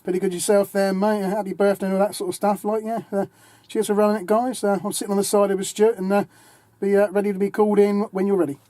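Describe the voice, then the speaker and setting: A man's voice: high voice, level tone, quick pace. A man casually checking in as a CB radio operator over a noisy transmission. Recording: CB radio transmission, loud static.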